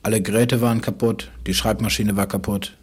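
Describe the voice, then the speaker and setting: A man with a husky, breathy voice delivers a literary radio monologue in stark, clipped phrases, often repeating himself. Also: fairly low pitch, steady tone, fairly quick, darkish tone. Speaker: writer.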